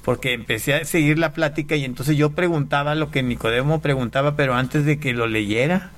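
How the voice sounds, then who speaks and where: Man from Panama, halting pastor. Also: on the high side, a bit bright. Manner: halting, with frequent restarts and repeated words. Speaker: pastor and guest. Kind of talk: conversational testimony.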